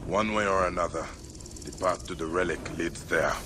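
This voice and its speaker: A man's voice: sits low, moderate pace, natural voice. An actor playing a grim, driven bereaved father and avenger in a video game, intense and demanding. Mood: stern, pressing. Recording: long reverb, loud music behind.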